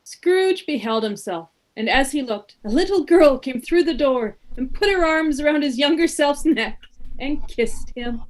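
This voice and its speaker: A woman narrating a radio play as an expressive storyteller, reading scripted prose. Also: high-pitched, measured pace, poor audio.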